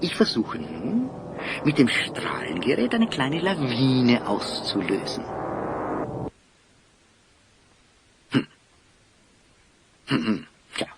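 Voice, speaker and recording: An actor playing an eccentric, kindly old scholar and inventor in an audio drama, theatrical and pompous, with hems and chuckles. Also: male voice, mid-range voice, a little hiss or hum, full character voice. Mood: eager, flustered. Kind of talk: German audio drama acting.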